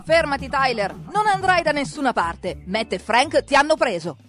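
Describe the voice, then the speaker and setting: A woman narrating a children's cartoon in an expressive, exclamatory way, talking directly to the characters as if to small children. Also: high voice, moderate pace. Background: background music.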